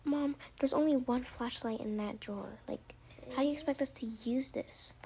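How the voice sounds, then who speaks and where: A woman's voice: high-pitched, normal speed, warm tone, slightly rough. A woman voicing a sulky, dramatic teenage girl in an amateur roleplay story.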